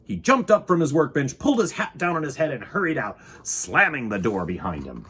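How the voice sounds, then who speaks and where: A man reading a children's picture book aloud in a theatrical, deliberate storytime style. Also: mid-range voice, very animated, a bit bright, slight background noise. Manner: dramatic, deliberate, with sound effects and exclamations.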